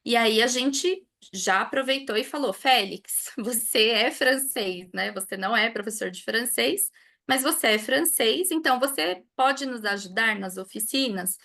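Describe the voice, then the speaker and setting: Chatty Brazilian woman teacher, slightly high. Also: measured pace, vocal fry. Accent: Brazilian Portuguese. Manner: relaxed storytelling, tags sentences with a questioning "né". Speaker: language teacher and guest speaker. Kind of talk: conversational online talk answering questions.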